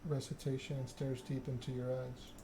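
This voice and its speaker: Man narrating with flat, subdued delivery. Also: medium pitch, moderate pace, background noise. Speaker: gaming video creator. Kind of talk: ASMR narration of a text-based game.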